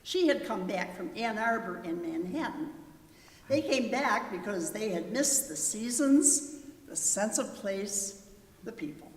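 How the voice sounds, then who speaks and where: A woman's voice: mid-range voice, animated, measured pace. A woman narrating a scripted third-person story aloud in a readers theater performance. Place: large reverberant hall. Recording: echoey hall, distant microphone.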